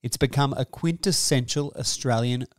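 Male music podcast presenter. Middle-register voice, earnest and measured, dry studio sound. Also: mid-range voice, no echo. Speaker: podcast host. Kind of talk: scripted music-news presenting.

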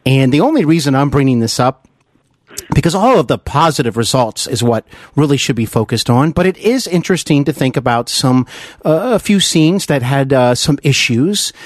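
A male host conducts a conversational interview in a mid-pitched voice. He is enthusiastic and emphatic, often turning to his guest with a tag question. The sound is dry, with no room around it. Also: animated, moderate pace, dry recording. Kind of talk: conversational radio-style interview.

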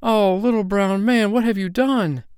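A man voicing a timid, gentle little girl in a storybook, speaking politely and earnestly. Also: high-pitched, very animated, normal speed, clear, resonant tone, no room sound, clean studio sound, pitched above the performer's own voice. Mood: weeping.

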